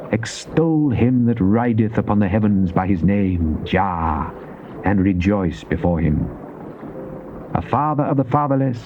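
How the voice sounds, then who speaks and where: A dull, slightly noisy cassette recording of a man reading scripture. His voice is dark and mellow, and he declaims in a solemn, theatrical way, as in a dramatized Bible. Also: fairly low pitch. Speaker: Bible reader. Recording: dull, lo-fi cassette with faint noise.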